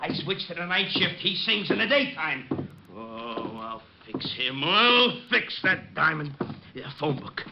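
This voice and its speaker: A man's voice: fairly high pitch, very animated, unhurried, gravelly, exaggerated voice. An actor playing a fussy, vengeful grouch of a man in an old-time American radio drama, muttering and flustered. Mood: exasperated. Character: fussy, exasperated, vengeful male grouch of a neighbour. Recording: muffled, noisy old radio broadcast.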